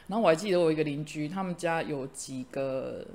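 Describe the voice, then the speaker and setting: A middle-aged woman, a host and teacher, chatting casually and telling lively stories with frequent laughter. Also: sits low, fast. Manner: animated storyteller, laughs often. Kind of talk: casual chat.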